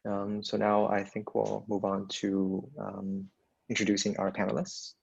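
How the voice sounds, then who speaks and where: Husky man co-host, hesitant, on a lo-fi call. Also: lowish voice, monotone, measured pace. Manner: hesitant, many fillers. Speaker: panel co-host. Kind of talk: casual online panel hosting. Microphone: video call.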